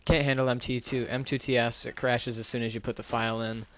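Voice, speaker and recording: A man's voice: mid-range voice, level tone, quick pace, fairly bright tone, a little hiss or hum. A man giving a casual, unscripted gaming commentary into a gaming headset, talking in a hesitant, rambling, self-deprecating way. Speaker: gaming YouTuber.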